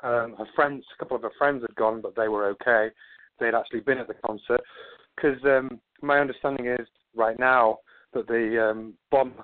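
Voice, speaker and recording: A man's voice: medium pitch, fairly even intonation, normal speed, dry recording. Manchester guy rambling on a tinny phone line, dry sound, says things twice. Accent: Manchester English. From England. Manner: rambling, repeats words when upset. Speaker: guest. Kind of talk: casual phone interview.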